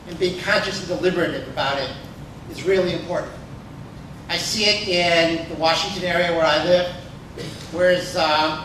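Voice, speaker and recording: Bright, measured man in an echoey hall. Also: sits high, recorded from a distance, a little hiss or hum. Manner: measured and articulate. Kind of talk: unscripted panel discussion. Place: large hall.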